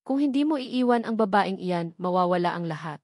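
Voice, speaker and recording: A woman narrator voicing a stern older rich father in a story, sharp and threatening. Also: lowish voice, expressive, fairly quick, no room sound, clean studio sound.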